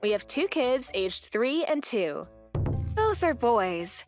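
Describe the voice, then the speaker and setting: High-pitched, animated female manga dub narrator. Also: takes it slowly, soft background music. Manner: expressive, theatrical. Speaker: narrator and voice actor. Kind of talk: dramatized first-person manga story narration.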